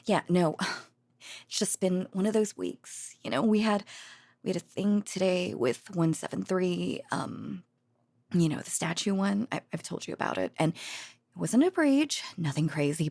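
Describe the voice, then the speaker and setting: A woman playing a tired, affectionate researcher girlfriend in an intimate audio roleplay, talking haltingly with sighs and murmured endearments to a sleepy partner. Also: on the low side, creaky, a little mellow, no room sound. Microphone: close, dry studio microphone.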